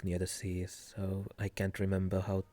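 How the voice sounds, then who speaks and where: A young man with a Finnish accent chatting casually on a live stream, soft-spoken and giggly, hesitating often. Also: low-pitched, completely flat delivery, normal speed. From Finland.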